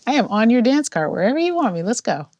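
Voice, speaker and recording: A woman co-hosting a podcast, chatting playfully and telling quick stories. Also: fairly high pitch, sing-song, darkish tone, no room sound, lo-fi sound. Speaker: podcast co-host. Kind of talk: casual chat.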